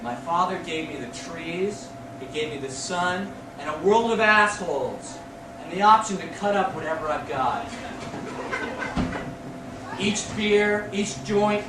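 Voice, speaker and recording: High-pitched male poet, declamatory, short deliberate phrases, noisy recording from far off. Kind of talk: live poetry reading. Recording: distant echoey room recording with noise.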